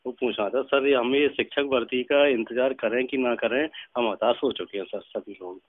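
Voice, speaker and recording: A man's voice: mid-range voice, moderate pace, a little hiss or hum. Deferential man on a tinny phone line. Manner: deferential, hesitant. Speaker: caller, job candidate. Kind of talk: phone enquiry.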